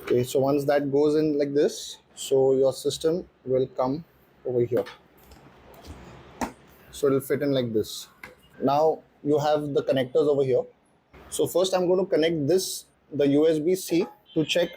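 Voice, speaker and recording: A man's voice: fairly high pitch, fairly even intonation, unhurried, dark tone, slight background noise, rough recording. A man presenting a casual vlog walkthrough, explaining things step by step. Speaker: motorcycle vlogger and presenter. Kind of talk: casual unboxing and installation walkthrough.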